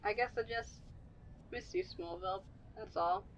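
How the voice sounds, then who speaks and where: Woman acting as brash reporter, affectionate, highish voice, muffled noisy recording, radio drama. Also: sing-song, moderate pace. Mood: wistful. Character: brash, affectionate female reporter missing her partner. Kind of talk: fan-made radio play acting.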